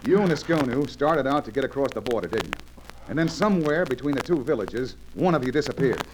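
An actor playing a steady, decent male adventure hero in an old radio serial, firm, reassuring and plain-spoken. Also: mid-range voice, a little fast, close to an everyday voice. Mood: stern. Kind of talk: old-time radio drama acting. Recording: noisy old radio recording.

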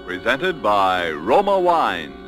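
Animated 1940s male radio sponsor announcer. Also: on the low side, slow, soft background music. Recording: old 1940s radio recording.

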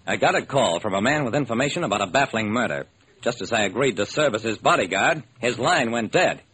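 An actor playing a brisk, determined hard-boiled male private detective, narrating and acting in a classic radio crime drama. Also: mid-range voice, lively intonation, a bit bright, low-quality recording. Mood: wry, brisk. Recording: old, slightly rough radio recording.

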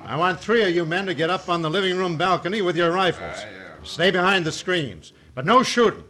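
An actor playing a ruthless, commanding cattle baron villain in an old-time radio western. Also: male voice, high voice, expressive, a little fast, bright, forceful tone. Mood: commanding, scheming. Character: ruthless, smooth-talking wealthy American cattle baron villain. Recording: noisy old radio recording.

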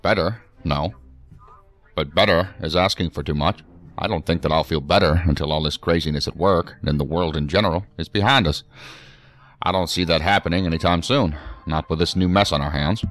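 A man playing a weary, wry assistant director and husband in a science fiction audio drama, articulate and measured. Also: sits low, a bit bright. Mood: weary, troubled.

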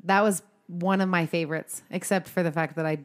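A woman's voice: on the low side, steady tone, a little fast. A woman co-hosting a podcast, talking in a chatty, informal way and putting questions to a guest. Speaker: podcast co-host. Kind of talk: casual podcast chat.

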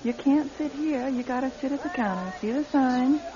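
A woman's voice: high voice, normal speed, lightly acted. A woman playing a rule-bound small-town diner waitress in a radio drama, speaking plainly and colloquially. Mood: matter-of-fact. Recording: dull radio drama recording.

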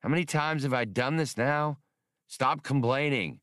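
A man's voice: mid-range voice, moderate pace, a bit bright, no echo, studio quality, voice pushed up, lightly acted. A man voicing a brash, impatient starship pilot with a blunt, challenging manner in an audiobook. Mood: exasperated.

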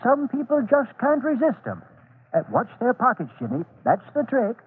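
High, stammering, shifty old man. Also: huge pitch swings, normal speed, breathy edge, full character voice. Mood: genial, sly. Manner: hesitant, stammering, fussy. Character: curious old antique dealer, shifty, flustered, genial. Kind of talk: old radio serial acting. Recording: tinny vintage radio recording.

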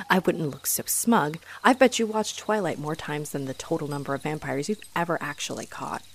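A woman's voice: low-pitched, moderate pace, a little hiss or hum. A woman playing an aggravated, haughty, flirtatious female vampire in a scripted audio roleplay, with mocking, theatrical delivery. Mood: exasperated, sarcastic. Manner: mocking, sardonic, theatrical taunting.

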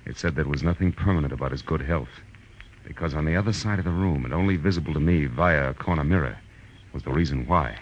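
Deep-voiced, hard-boiled private eye. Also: male voice, fast talker, muffled recording, a little hiss or hum. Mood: tense narration. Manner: clipped, sardonic, slangy. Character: tough, wisecracking male private eye. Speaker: radio drama lead actor. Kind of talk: hard-boiled 1940s radio detective acting. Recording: old radio broadcast with music.

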